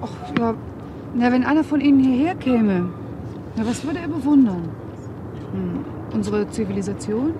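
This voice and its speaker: An actress playing a curious, friendly young tennis player, asking casual questions in a naturalistic radio drama. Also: female voice, a little high, lively intonation, normal speed, close to an everyday voice. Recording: clear outdoor ambient noise.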